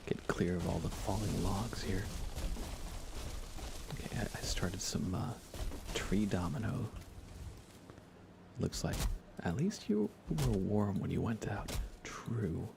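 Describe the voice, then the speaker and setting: Man, low voice, soft near-whisper, creaky, unhurried casual ASMR game stream, dry humor. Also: animated, heavy background noise. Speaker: live streamer, host. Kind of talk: relaxed ASMR gaming livestream commentary.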